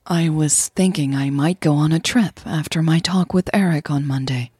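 A woman voicing a shy, easygoing woman in an audio romance, soft, husky and hesitant. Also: low voice, normal speed, no echo, clean studio sound, voice pushed down. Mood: casual, tentative. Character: shyly joking, easygoing woman in love, Emily.

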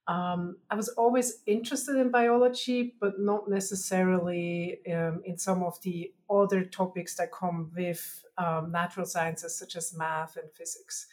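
A woman researcher with a Swiss German accent and a slightly low voice explains science in an interview. She picks her phrasing with care and sometimes hesitates as she goes. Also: fairly even intonation, measured pace, few pauses, darkish tone. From Switzerland.

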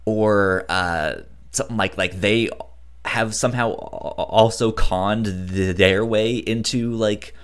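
A man with a stutter and a halting delivery, casually discussing films as a podcast co-host. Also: on the low side, steady tone, smooth. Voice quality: stutter, repeats first sounds of words. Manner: halting, hesitant delivery with frequent restarts.

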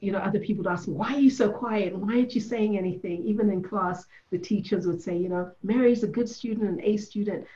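A woman's voice: mid-range voice, mellow. Woman from Ethiopia, coach, reflective storyteller, muffled lo-fi audio. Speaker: guest, coach. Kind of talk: conversational interview.

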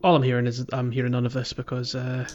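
A man's voice: medium pitch, monotone, fast talker, darkish tone, muffled recording. A man co-hosting a gaming podcast, chatting casually with a teasing, jokey manner. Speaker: podcast co-host. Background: background music.

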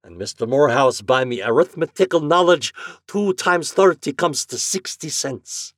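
A man voicing a stubborn, rule-bound male express agent with a thick Irish accent, talking loud and firm. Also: on the high side, lively intonation, moderate pace, no echo, clean studio sound, voice pushed up. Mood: mocking.

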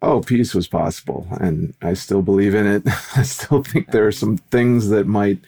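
A man hosting a podcast, speaking conversationally in thoughtful, halting phrases. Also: fairly low pitch, warm tone, husky. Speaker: podcast host. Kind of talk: casual conversational interview.

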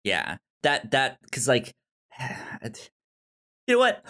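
Podcast co-host, slow pace, medium pitch, casual film chat, halting delivery, repeated words. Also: huge pitch swings, fairly bright tone.

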